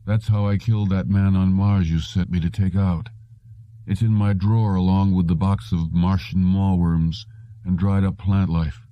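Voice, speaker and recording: A man's voice: sits low, fairly even intonation, normal speed, natural voice. Man doing a drugged, mumbling half-conscious man. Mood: drugged, dreamy. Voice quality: dull, drowsy, mumbled. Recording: roomy, dull lo-fi audio.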